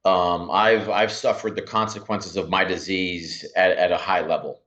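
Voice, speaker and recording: Deep-voiced man from Miami, halting and earnest. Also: steady tone, a bit bright. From Miami, USA. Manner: earnest, halting, many restarts. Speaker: podcast guest. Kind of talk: reflective interview talk. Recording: dull lo-fi sound.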